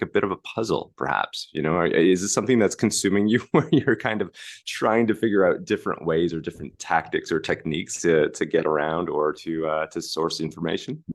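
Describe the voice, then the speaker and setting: Very deep-voiced male interview host. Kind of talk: casual presenting and interviewing.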